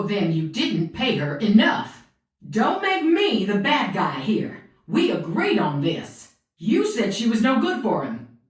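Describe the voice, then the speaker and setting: An actress playing a stern, insistent mother of a missing daughter in a scripted video game. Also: mid-range voice. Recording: roomy echo, distant from mic.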